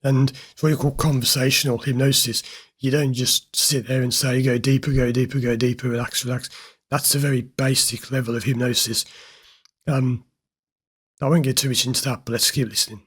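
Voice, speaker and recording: A man hosting a therapy channel, slightly hoarse from recording many videos, commenting directly and with mild amusement on a recorded session. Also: mid-range voice, little pitch movement, brisk. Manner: direct, explanatory, mildly amused. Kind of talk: commentary over an interview clip.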